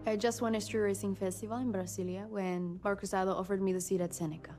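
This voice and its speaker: Actress playing young woman race driver, soft mellow tone, self-assured, faint music behind. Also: mid-range voice, fairly even intonation, fast talker, close to an everyday voice. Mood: confident. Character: composed, ambitious young woman racing driver. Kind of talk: mock documentary interview acting.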